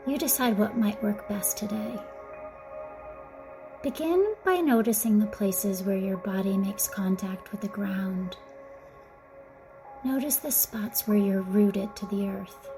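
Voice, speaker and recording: A woman guiding a mindfulness meditation in a gentle, soothing, softly spoken way, with breathy phrase endings. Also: mid-range voice. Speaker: meditation guide. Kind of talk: guided mindfulness meditation narration.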